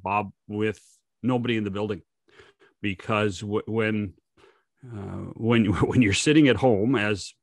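A man's voice: fairly low pitch, no echo, clean studio sound. An older man with a North American accent giving casual sports commentary as a co-host, repeating words for emphasis. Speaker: podcast co-host. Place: home room on a video call. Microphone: desk microphone close up.

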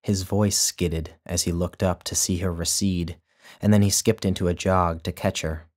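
A man, the author, narrating his own literary short story in a measured, even way. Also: low voice.

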